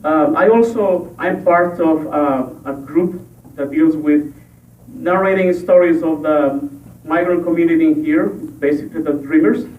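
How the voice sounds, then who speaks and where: A man from Ecuador, a school board candidate, giving a halting forum speech with many pauses and fillers. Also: fairly high pitch. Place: library meeting room. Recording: echoey room, tinny and distant.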